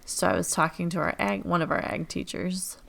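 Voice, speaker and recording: A woman from Illinois hosts a relaxed teachers' podcast, rambling and chatty with plenty of fillers. Her voice sits on the low side and carries a gravelly, fry-laden texture. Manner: chatty, rambling, quick asides with many fillers. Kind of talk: casual chat.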